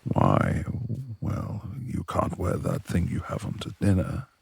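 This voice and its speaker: A man playing a brooding, reclusive beast of a manor lord in a romantic ASMR roleplay, gruff yet tender, with gravelly, breathy speech and formal old-fashioned phrasing. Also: deep voice, sing-song, slow pace, darkish tone, heavily acted. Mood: stern, impatient.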